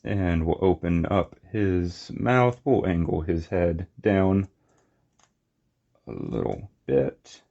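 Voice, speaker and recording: A man giving a casual, unscripted hands-on toy review, talking in short, halting phrases on a slightly muffled recording. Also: low-pitched, animated, gravelly, mellow. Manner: halting, short phrases while handling objects.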